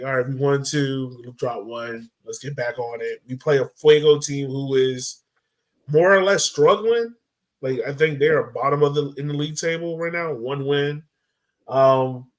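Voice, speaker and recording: A man with a fairly high voice hosts a casual sports podcast. He talks in an informal, conversational way and likes to toss questions out to the table. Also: unhurried. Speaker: podcast host. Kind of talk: casual soccer discussion.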